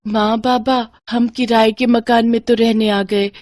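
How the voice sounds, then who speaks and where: Woman as a high-voiced wistful little girl. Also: flat delivery, unhurried, darkish tone, no room sound, clean studio sound, natural voice. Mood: pleading. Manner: childlike, pleading. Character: poor, innocent little girl, wistful and polite.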